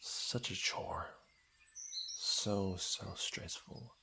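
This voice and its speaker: A man acting a playful, flirtatious fantasy lord in an intimate ASMR roleplay, with breathy murmurs and birdsong effects behind him. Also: low voice, steady tone, extremely slow. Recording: clear background noise with birdsong effects. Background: birdsong and outdoor nature sound effects.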